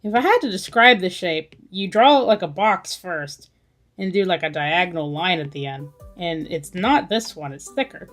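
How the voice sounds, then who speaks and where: Lower-pitched woman musing over music. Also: animated, normal speed. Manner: musing, thinking aloud. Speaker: artist hosting a drawing livestream. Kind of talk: casual chat while drawing.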